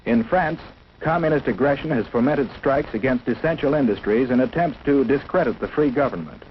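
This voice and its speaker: A man's voice: medium pitch, animated, brisk, a little hiss or hum. Formal American man on dull vintage film soundtrack. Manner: formal, solemn, declarative. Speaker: educational film narrator. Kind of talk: 1950s instructional film narration, scripted.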